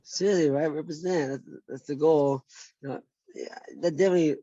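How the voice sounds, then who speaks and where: A man's voice: fairly high pitch, fairly quick, dark tone, no room sound, dull audio. An American man talking casually as a podcast guest, upbeat and stumbling over restarts. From United States. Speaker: guest, former fighter. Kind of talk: casual interview chat.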